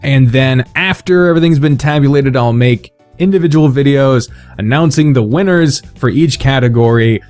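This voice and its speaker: A man's voice: mid-range voice, normal speed, few pauses, darkish tone. Sweary, self-deprecating man over background music. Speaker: video host announcing fan awards. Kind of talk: casual unscripted monologue to viewers.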